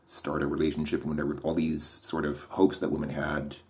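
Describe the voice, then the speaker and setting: A man with a deep voice thinking aloud in a solo monologue. He hesitates, restarts his sentences and questions himself as he goes. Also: completely flat delivery, brisk, darkish tone.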